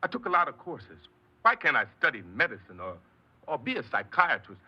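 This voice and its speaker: A man's voice: fairly high pitch, wildly swooping pitch, fairly quick, breathy edge, full character voice. An actor playing a desperate, sullen, sarcastic young American man in a 1950s radio drama. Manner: pleading, insistent. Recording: dull lo-fi old radio recording.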